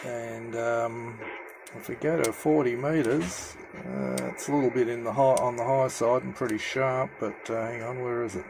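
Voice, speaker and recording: A man with a mid-pitched voice presents an informal, hands-on demonstration. He speaks haltingly, pausing often as he works. The handheld indoor recording carries some faint noise. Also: fairly even intonation, vocal fry. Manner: hesitant, halting delivery with many pauses. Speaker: amateur CB radio hobbyist presenter. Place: indoor room at home with radio gear.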